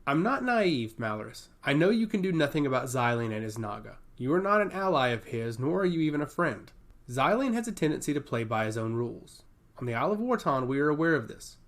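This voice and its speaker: A man acts out a poised young princess in a fantasy audio story. The speech is formal, polite and earnest, with a lively rise and fall in pitch. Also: brisk. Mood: poised, hopeful.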